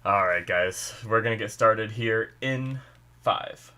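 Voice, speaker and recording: A man's voice: on the low side, slow pace, sharp tone. A man with a stuffy nose hosting a casual reaction video, rambling and thinking aloud with lots of "like" and "you know".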